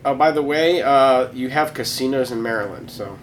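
A man's voice: mid-range voice, slight background noise. A man co-hosting a casual podcast, needling his friend with sarcastic jokes. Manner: sarcastic, jokey, quick to needle. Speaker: podcast co-host.

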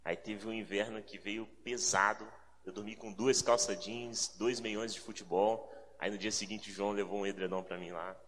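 A man's voice: on the low side, a bit bright, slight background noise. A man from Rio de Janeiro with a carioca accent preaches as a guest in an informal, reflective way, repeating phrases for emphasis. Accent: carioca Brazilian Portuguese. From Rio de Janeiro, Brazil. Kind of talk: sermon, conversational with prayer and scripture reading. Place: large reverberant indoor meeting space, speaking to a congregation. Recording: reverberant hall sound.

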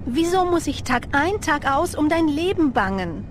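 A woman's voice: sits high, very animated, moderate pace, a little hiss or hum. An actress playing a loving, anxious young girlfriend in an audio drama. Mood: anxious, pleading.

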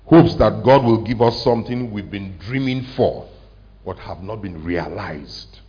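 A man, a pastor preaching a conversational sermon to his congregation, often asking them questions and slowing down to stress a point. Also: on the low side, lo-fi sound. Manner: conversational, asks the congregation questions, slows for emphasis. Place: church hall with congregation.

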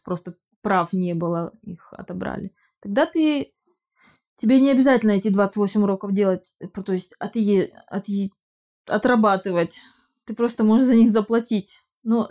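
A woman at an ordinary middle pitch, with a dark, mellow tone, talks in a casual conversation. She hesitates often, restarts words and fills pauses as she explains. She speaks Russian but lives in Israel. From Russian-speaking immigrant living in Israel. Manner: hesitant, halting with restarts and fillers. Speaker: interviewee.

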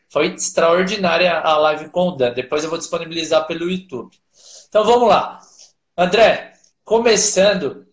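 A man hosting a live-stream interview, upbeat and enthusiastic as he introduces his guest. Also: on the high side. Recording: echoey room.

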